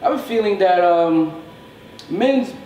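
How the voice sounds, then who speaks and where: A man's voice: high-pitched, animated, unhurried, mellow, a little hiss or hum. A man from Haiti chatting casually as an interview guest, often searching for words in an informal way. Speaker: interview guest, writer and filmmaker. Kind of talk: casual chat. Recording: echoey room.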